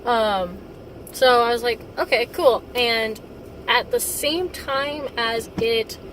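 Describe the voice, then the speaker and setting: A young woman with a hoarse voice from recent laryngitis, chatting casually about a book she read while driving, with plenty of fillers. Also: fairly high pitch, slow pace, far from the mic, rough recording. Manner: chatty, rambling, many fillers. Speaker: book reviewer. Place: inside a car on the road. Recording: car cabin with loud road noise, off-mic.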